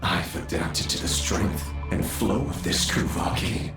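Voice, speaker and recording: An actor voicing an arrogant, power-hungry undead villain in a video game, speaking theatrically with condescending pauses. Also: male voice, deep voice, roomy sound, music in the background. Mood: arrogant, menacing. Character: menacing undead male villain, arrogant sinner craving power.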